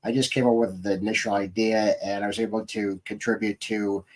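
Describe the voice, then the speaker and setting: A man's voice: lowish voice, level tone, fairly quick. A man hosting a podcast and chatting casually with his guest as a fellow scriptwriter. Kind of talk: casual interview chat.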